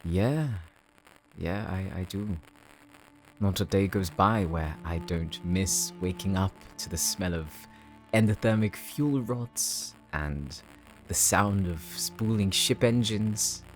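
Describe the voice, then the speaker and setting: A man's voice: low voice, slow pace, faint music behind. A man voicing an earnest, homesick tech worker from a space colony in an audio roleplay, explaining things in a sometimes faltering way. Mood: wistful. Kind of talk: anime-style audio roleplay acting.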